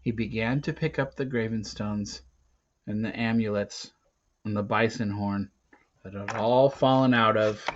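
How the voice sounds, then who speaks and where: A man reading an adventure novel aloud in a dramatic, emphatic way, swinging between hushed and loud delivery. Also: fairly low pitch, steady tone, a little slow, a little hiss or hum. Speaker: reader of a children's adventure novel. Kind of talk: dramatic read-aloud narration. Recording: dull, lo-fi recording.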